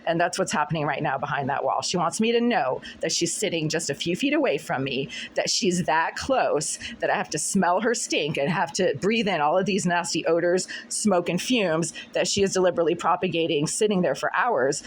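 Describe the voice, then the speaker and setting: A middle-aged woman with a scratchy, husky voice, talking insistently and repetitively to a phone camera in her apartment. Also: lowish voice, animated, brisk, few pauses. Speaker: vlogger. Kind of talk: monologue to camera. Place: apartment room.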